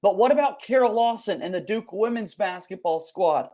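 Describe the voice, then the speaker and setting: A man's voice: high voice, lively intonation, normal speed, mellow. A man hosting a sports podcast, talking in a polished, upbeat, announcer-like way as he introduces and interviews.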